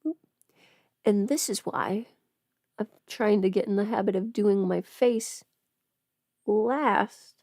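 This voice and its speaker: A woman's voice: medium pitch, takes it slowly, pauses often, darkish tone, no echo, studio quality. A woman chatting casually on a livestream while busy with her makeup, rambling and trailing off as if half talking to herself. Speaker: livestream host.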